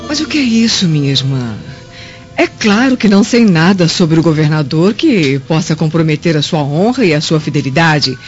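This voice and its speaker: A woman plays a scheming, resentful Roman noblewoman in a radio drama, with a Brazilian accent. Her insinuating voice swings up and down, muffled, with soft music behind. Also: deep voice, sing-song, normal speed. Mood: feigned innocence, resentful. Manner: indignant, insinuating.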